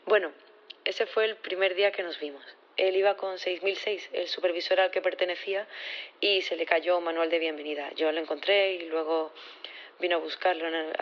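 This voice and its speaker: Casting: nervous female professor testifying, played by an actress; lowish voice, halting and unsure, naturalistic audio drama, slightly dull sound. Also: lightly acted. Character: nervous, hesitant female science professor on witness stand. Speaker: actor in audio fiction.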